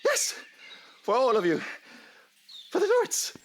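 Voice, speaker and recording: Exuberant, wildly swooping investigator. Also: male voice, very high-pitched, wildly swooping pitch, measured pace, a little hiss or hum, full character voice. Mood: excited, jubilant. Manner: theatrical, emphatic, breaking into lists and repetitions. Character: exuberant, eccentric male investigator bursting with triumph. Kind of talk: audio drama acting.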